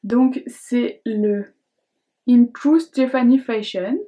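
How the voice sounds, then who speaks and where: A woman's voice: fairly high pitch, extremely slow. A young adult woman chatting casually to camera as a beauty vlogger, hesitant and chatty, with many fillers and restarts. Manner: reads questions aloud in a brighter voice.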